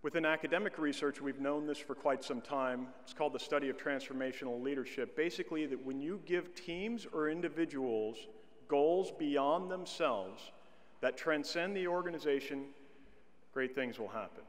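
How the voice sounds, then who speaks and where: A middle-aged man delivering a commencement address at a podium, speaking in a measured way with slow, deliberate emphasis. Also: a little high. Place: large indoor arena stage at a podium. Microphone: podium microphone. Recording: long arena reverb.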